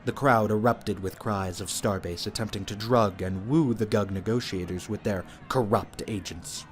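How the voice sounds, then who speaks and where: A man's voice: lowish voice, moderate pace. A man reading scripted narration for an audio drama. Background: music.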